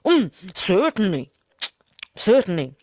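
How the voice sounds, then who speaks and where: A poor, hungry woodman in a storybook, as a woman narrator voices him, coaxing and sly as he bargains. The delivery is lively and lilting, recorded dry and close. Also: lowish voice, measured pace, dry recording. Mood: worried. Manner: crafty, coaxing.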